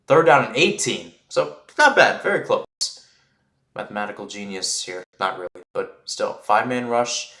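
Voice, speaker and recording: A man calls American football live in a radio play-by-play style. His pitch sits in an ordinary male range, and the sound is noticeably roomy and echoey. He keeps it conversational, correcting himself as he goes. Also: fairly bright tone. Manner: conversational, self-correcting asides. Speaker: play-by-play sports commentator.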